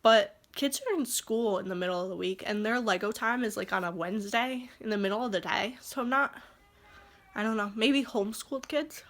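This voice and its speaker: A woman's voice: medium pitch, fairly quick, a bit bright, faint music behind. A young woman, a stay-at-home mom, talking casually to her camera at home with a stuffed-up nose from allergies, rambling and heartfelt. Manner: rambling and heartfelt, growing indignant. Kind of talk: casual vlog talk to camera. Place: indoors at home.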